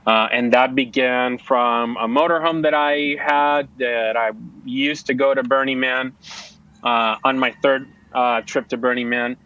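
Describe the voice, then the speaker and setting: A man's voice: mid-range voice, fairly even intonation, a little slow, bright, forceful tone, dull audio. A man from Puerto Rico, a podcast guest, talking casually with lots of fillers and restarts. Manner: chatty, rambling, many fillers. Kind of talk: casual conversation. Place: outdoors-ish home with background noise.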